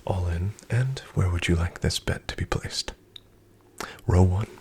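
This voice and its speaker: A man in a soft-spoken, whispered ASMR roleplay as a casino dealer, speaking in a polite, formal, deferential way. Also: low voice, a little slow, slight background noise.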